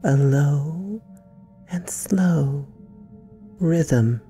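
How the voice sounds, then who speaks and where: A woman hosting a guided meditation, speaking in soothing, deliberate phrases with long pauses and a breathy softness. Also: low-pitched, animated, soft background music. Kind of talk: slow guided meditation narration.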